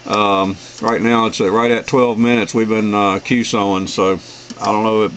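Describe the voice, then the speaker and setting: A man's voice: on the low side, level tone, moderate pace, a bit bright. A middle-aged or older man from the United States chatting casually and in a friendly, folksy way as an amateur radio operator. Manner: friendly sign-offs, folksy. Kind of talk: casual radio contact chat. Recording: noisy radio shack recording.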